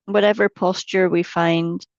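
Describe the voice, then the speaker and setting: A woman leading a guided meditation as a mindfulness teacher, gently and without hurry, leaving long pauses between short phrases. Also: on the low side, level tone, dry recording, highs cut off.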